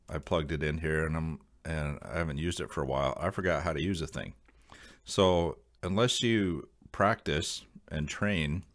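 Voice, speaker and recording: A man with a very deep, low-pitched voice hosts an informal explainer vlog. He addresses viewers directly in a casual, rambling style. His delivery is halting, as if working out each point while he talks. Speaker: video host.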